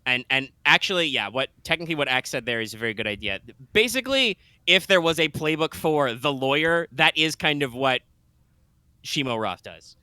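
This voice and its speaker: A man running a tabletop roleplaying game as game master, relaxed and quick to joke. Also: on the high side, clean tone, no room sound.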